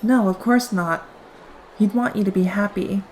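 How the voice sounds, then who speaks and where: An actress playing a gentle, hesitant young female superhero in an audio drama. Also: medium pitch, moderate pace, heavy background noise, close to an everyday voice. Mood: reassuring, hesitant.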